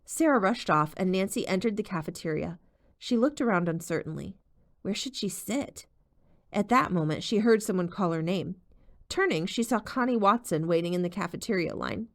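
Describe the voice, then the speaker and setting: A woman's voice: mid-range voice, expressive, moderate pace. A woman reading a novel aloud as an audiobook narrator, telling the story expressively. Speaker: audiobook reader.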